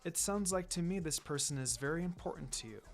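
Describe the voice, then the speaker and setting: Gentle androgynous teen boy, read by a man, soft-spoken, polite, measured storybook delivery over music. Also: a little high, lively intonation. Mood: gently chiding. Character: gentle, pretty teenage boy, mistaken for a girl.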